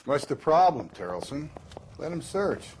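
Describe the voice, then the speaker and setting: An actor playing a cynical, blunt veteran police detective in a video game, sardonic and domineering. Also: male voice, on the high side, big pitch swings, normal speed, poor audio. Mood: menacing. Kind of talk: video game cutscene acting. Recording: loud vehicle and ambient noise.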